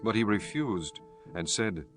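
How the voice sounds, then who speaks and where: A man giving measured, formal narration for a scripture audiobook. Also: lowish voice, expressive, faint music behind.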